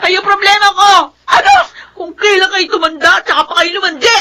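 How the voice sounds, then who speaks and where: An actress playing a resentful young daughter in a Filipino radio drama, with a shrill voice. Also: female voice, fairly quick, pushed and bright, raised voice, some chatter behind, a little hiss or hum. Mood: furious, tearful. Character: resentful young daughter, angry at her widowed mother.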